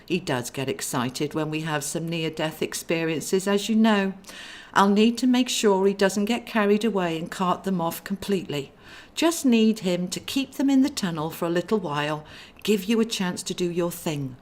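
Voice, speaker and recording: A woman's voice: lowish voice, moderate pace, a little mellow, lightly acted. A woman voicing a kindly male angel in a storybook, a genteel, musing big brother with a plan. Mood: planning.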